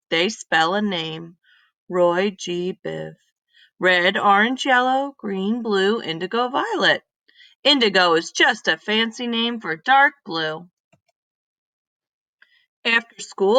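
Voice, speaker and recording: A woman reading a children's story aloud in an expressive, deliberate manner. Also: medium pitch, no room sound. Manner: deliberate, animated reading with emphatic exclamations.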